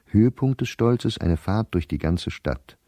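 An actor playing an embittered, anxious middle-aged professor reading his diary in a radio play, in a measured, weary manner. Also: lowish voice, animated, mellow, no echo, clean studio sound. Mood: bitter, wry. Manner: measured, weary, dropping to near-whisper at grim thoughts. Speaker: diarist narrator.

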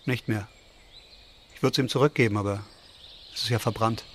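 A man's voice: lowish voice, moderate pace, fairly bright tone, breathy edge. An actor playing an introverted, tidy, mild-mannered man in a naturalistic radio drama, speaking hesitantly. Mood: subdued, hesitant.

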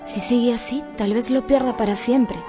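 A frank maid confiding in her mistress played by a woman in a radio drama. Her voice is fairly high, with music clearly playing behind. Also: fairly even intonation, moderate pace, lightly acted. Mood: cautioning.

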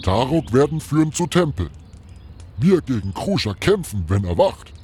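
Mann spielt wortkargen Ork mit dunklem Klang. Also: male voice, medium pitch, very animated, normal speed, dark tone, slight background noise, natural voice. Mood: grave, resolute. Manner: broken grammar, terse, stilted phrasing. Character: solemn male orc shaman, tradition-bound warrior. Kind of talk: fantasy radio play acting.

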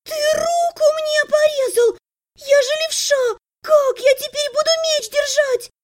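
A woman's voice: very high-pitched, steady tone, measured pace, voice pushed up. A woman voicing a hysterical, self-pitying young sister in an audiobook, shrieking and whining. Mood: shocked, indignant. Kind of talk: audiobook character acting.

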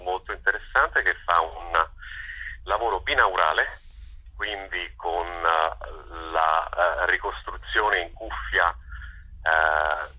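A man with a low-pitched voice and a very sharp, bright edge answers interview questions. He speaks off the cuff, haltingly, pausing often as he looks for the right word. The tone is noticeably rough and husky. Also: muffled recording. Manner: hesitant, searching for words with many pauses. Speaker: festival organizer being interviewed. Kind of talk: unscripted interview.

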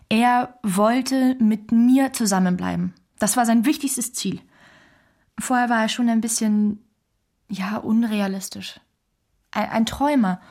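Composed, higher-voiced wife. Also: female voice, a little mellow. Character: composed, strong-minded Chinese wife, hard on herself. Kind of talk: documentary radio play, conversational reminiscence.

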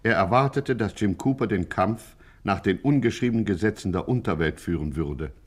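A grave gangland chronicler. His lowish voice gives mock-serious scripted narration for an old radio crime satire. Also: normal speed, slightly rough.

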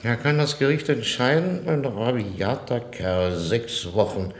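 An actor in a 1960s German radio play playing a brash, scheming, know-it-all jailbird who talks in rough colloquial style. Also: male voice, mid-range voice, unhurried, lightly acted. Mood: scornful, scheming. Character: cocky, streetwise male jailbird, rough and scheming. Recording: roomy, echoing 1960s radio recording.

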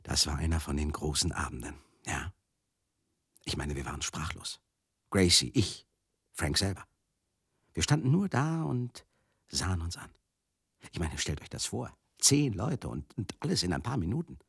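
An actor playing a rambling, excitable manager who reminisces in a confiding, theatrical monologue for a radio play. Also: male voice, low voice, creaky, slightly rough, dry recording, clean studio sound, heavily acted. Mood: awed, earnest. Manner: conversational, confiding, theatrical, halting and then bursting out. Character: rambling, excitable manager reminiscing wistfully about old glory days.

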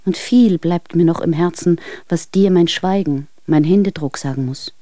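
A woman's voice: on the low side, moderate pace, dark tone, dry recording, studio quality, close to an everyday voice. An actress playing a devoted, yearning woman, reading earnest, intimate love letters in a German radio drama. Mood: enraptured, tender.

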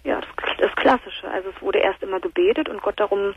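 A woman in her thirties from Berlin, calling in to a radio talk show and speaking softly and hesitantly, with many fillers. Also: medium pitch, brisk, husky, no room sound. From Berlin, Germany. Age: 30s. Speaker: caller. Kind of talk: phone-in conversation. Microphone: telephone. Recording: muffled phone line.

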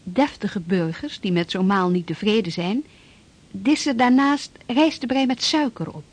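A woman's voice: mid-range voice, a little slow, lightly acted. A woman giving matter-of-fact scripted historical narration within a radio play. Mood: informative.

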